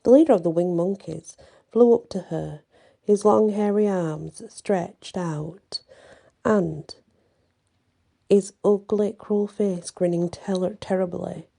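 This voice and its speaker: A woman telling a bedtime story in an ASMR style, with deliberate, dramatic phrasing. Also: lowish voice, gravelly, warm tone.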